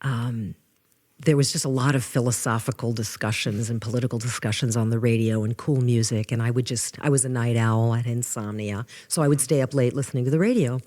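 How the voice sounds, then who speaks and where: An older woman from New with a low voice, telling lively stories as a guest in a casual interview. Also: fairly quick. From New York. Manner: animated storyteller, restarts often. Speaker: guest musician.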